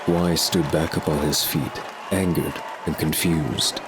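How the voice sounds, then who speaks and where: Really deep guy narrating a story, street noise in the background. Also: fairly even intonation, measured pace, a crowd in the background.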